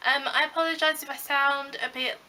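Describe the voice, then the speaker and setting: A young British woman talks through her beauty haul in a loose, rambling way, full of ums and likes. Her voice sits high and bright, though a cold leaves it a little croaky. A faint hiss hangs behind her. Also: fairly even intonation, fairly quick. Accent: British English. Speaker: beauty vlogger. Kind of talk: casual haul video chat.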